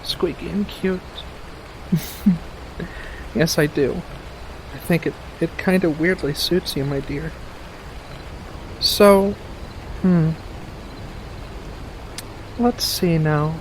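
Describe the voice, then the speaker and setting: An ASMR performer voicing a doting, playful, sweetly possessive witch in a soft-spoken roleplay, cooing and coaxing with hums and pet names, over a noisy background. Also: medium pitch, drawn-out words, pauses often. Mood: cooing, delighted.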